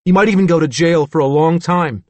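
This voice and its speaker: A man's voice: a little high, fairly quick, a little mellow, no echo, voice pushed up, close to an everyday voice. A man reading an audiobook, voicing a woman psychologist who reasons with an earnest, persuasive manner.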